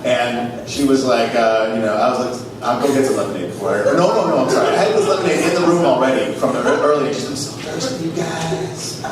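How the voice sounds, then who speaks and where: A man's voice: medium pitch, fast, crowd noise. A man hosting a live comedy show, reading aloud and telling stories with a wry manner. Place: live on stage in a small theater. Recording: echoey theater, distant mic, noisy.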